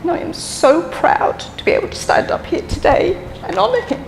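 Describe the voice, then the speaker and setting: A woman with a mixed London, Irish and Australian accent giving a heartfelt eulogy in measured, pausing speech. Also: very high voice, lively intonation, gravelly, big-room sound, a little hiss or hum, poor audio. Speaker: eulogist, the deceased's daughter. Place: memorial service hall.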